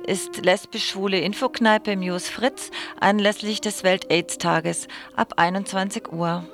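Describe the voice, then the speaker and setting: Mid-pitched woman reading scripted radio notices. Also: steady tone, a little slow, music in the background. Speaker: radio presenter. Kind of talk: scripted reading of event announcements.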